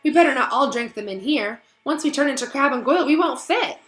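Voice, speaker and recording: A woman's voice: high-pitched, animated, fairly quick, a bit bright, voice pushed up. A woman voicing a cautious, take-charge schoolboy wizard in a storybook, speaking urgently and decisively. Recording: roomy echo, faint music behind.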